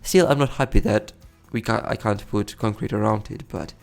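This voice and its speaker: A man's voice: on the low side, normal speed, vocal fry, soft background music. A young man giving casual, rambling commentary over a city-building game, correcting himself and chuckling often. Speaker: let's play host.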